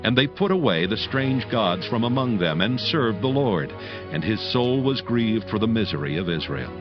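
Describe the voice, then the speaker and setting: A man narrating old-fashioned King James scripture in a solemn, measured storytelling manner. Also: on the low side, very animated. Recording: dull audio with faint music.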